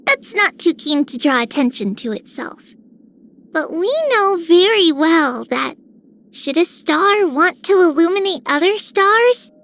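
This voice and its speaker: A woman's voice: very high voice, fairly bright tone, full character voice. A woman voicing an imaginative, upbeat young girl heroine in scripted video game lines. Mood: admiring.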